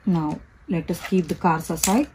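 A woman, slowly and carefully narrating a step-by-step toy unboxing and demonstration. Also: lowish voice, darkish tone, a little hiss or hum. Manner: slow, careful, instructional. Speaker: toy reviewer. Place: indoors, at a table. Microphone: off-camera voice, hands only in frame.

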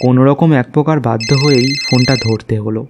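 A man's voice: mid-range voice, fast talker, heavy background noise. A man narrating a scripted story in the first person.

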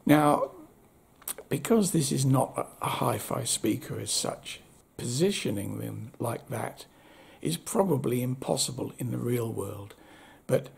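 A man in his seventies giving a casual, unscripted review with wry understatement, in a husky voice. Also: medium pitch, animated, measured pace. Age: 70s. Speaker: audio equipment reviewer. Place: indoor room at home.

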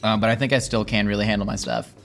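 A man's voice: on the low side, level tone, quick pace, gravelly, fairly bright tone, faint music behind. A man in his thirties with an American accent, interviewing his guest in a casual, chatty style. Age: 30s. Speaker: host, interviewer. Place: indoor dining set at a wooden table.

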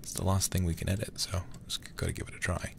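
A man livestreaming a horror game in ASMR style, murmuring softly and often whispering, close to the microphone. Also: low voice, level tone, fast, gravelly, noisy background.

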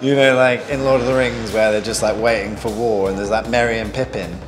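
A man's voice: on the low side, steady tone, a little fast. A man in his thirties, a head chef, talking candidly in an interview and laughing at himself now and then. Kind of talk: reflective interview. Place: large bright restaurant dining room. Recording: reverberant room with clear background noise.

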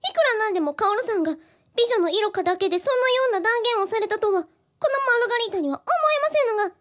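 A woman's voice: very high voice, very fast, a bit bright, slight background noise. A woman playing a precocious genius girl in an audio drama, quaintly formal and inquisitive, with old-fashioned courtly speech. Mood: reproving, curious. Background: background music.

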